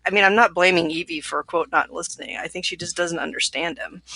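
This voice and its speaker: A woman podcast co-host with plenty of vocal fry and a bright tone. She talks casually and explains her thinking about a book. The recording is dry, with very little room. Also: on the low side, steady tone, fast, dry recording.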